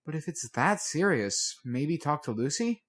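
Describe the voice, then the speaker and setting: A man reads as an earnest teenage girl in an audiobook. She is a brave, concerned friend, speaking casually and coaxing gently. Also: fairly high pitch, very animated, a little slow, voice pushed up, heavily acted. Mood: concerned, supportive.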